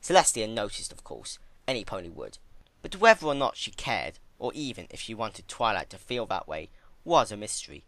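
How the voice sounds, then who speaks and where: A man giving a dramatic scripted narration of a dark story. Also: on the low side, sing-song, moderate pace, no echo.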